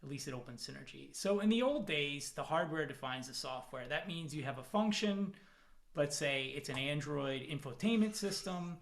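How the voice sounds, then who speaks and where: A man presenting as a product manager at a technical conference, talking from home over a video call in a relaxed, self-deprecating way with plenty of fillers. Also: on the high side, moderate pace, vocal fry. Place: home, in the evening.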